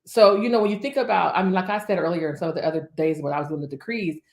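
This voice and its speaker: A woman's voice: on the low side, steady tone, racing. A woman in her fifties, a minister, preaching a decree to online viewers in rhythmic repeated lists with chatty asides. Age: 50s. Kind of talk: livestream prophetic decree. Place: office. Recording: echoey room.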